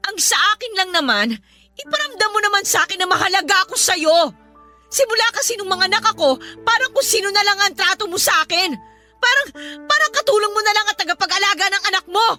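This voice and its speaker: A voice actress playing an exhausted young mother who scolds her husband in a tearful, shrill way. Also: expressive, fast, pushed and bright, full character voice. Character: exhausted young mother, sharp-tongued and resentful. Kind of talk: Tagalog radio drama acting. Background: music.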